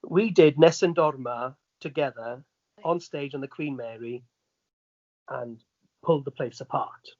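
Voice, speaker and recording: A man's voice: mid-range voice, unhurried, a little mellow, no echo, highs cut off. A middle-aged Welsh man chatting casually as an interview guest, telling anecdotes and checking that the listener follows. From Wales.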